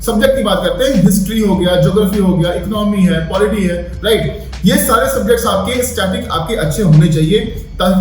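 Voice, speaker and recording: High-voiced man teaching in an echoey room. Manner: methodical, often checks with tag words like "right" and "okay". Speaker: exam coaching teacher. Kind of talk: explanatory lesson. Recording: very echoey room, distant mic, music behind.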